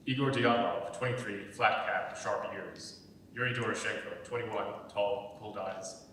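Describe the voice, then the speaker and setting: A man's voice: a little slow, bright, forceful tone. A man reading scripted narration and stage directions for a dramatic reading. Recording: echoey hall, distant mic, faint rumble.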